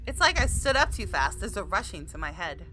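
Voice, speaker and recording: A woman doing a let's play, reading a visual novel aloud in an expressive way and exclaiming as she reacts. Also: fairly low pitch, a little fast, soft background music, poor audio. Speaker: gaming video creator.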